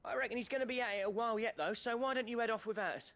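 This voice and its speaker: A man's voice: extremely high pitch, racing, fairly bright tone. An actor with an English accent playing a good-natured, wry working man in a video game. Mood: cheery, wry. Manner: colloquial, wry. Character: chirpy, good-natured, folksy male working-class villager. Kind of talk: dramatic video game dialogue.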